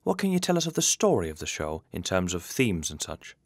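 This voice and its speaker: Man, interview host, scripted questions, lively swooping intonation, dry close sound. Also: deep voice, fairly quick, no echo.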